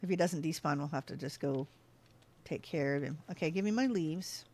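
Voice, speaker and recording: A woman's voice: low voice, normal speed, darkish tone. A woman streaming a game, chatting casually with her viewers, easily sidetracked and laughing often. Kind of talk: casual livestream chat while playing.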